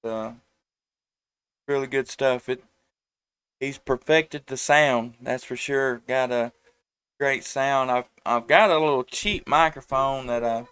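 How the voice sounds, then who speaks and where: Clear-voiced man, dry headset sound. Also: mid-range voice, slow pace, long pauses, fairly bright tone, no echo. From United States. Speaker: amateur radio operator and live stream host. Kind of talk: casual ham radio chat. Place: at home indoors. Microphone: headset microphone.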